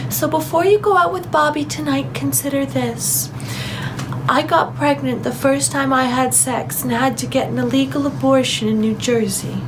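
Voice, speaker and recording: A woman plays a blunt, exasperated mother in a comedy monologue. Her very high voice confides with slow-built emphasis, over faint background noise. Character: frank, exasperated, very fertile mother warning her daughter. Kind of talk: comic character monologue.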